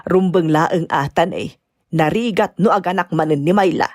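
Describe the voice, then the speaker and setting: A woman's voice: on the low side, normal speed, a little mellow, dry recording, clean studio sound, close to an everyday voice. A woman acting a blunt, exasperated older mother and grandmother in an Ilocano-accented radio-style drama, nagging and emphatic. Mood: fond, concerned. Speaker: voice actress.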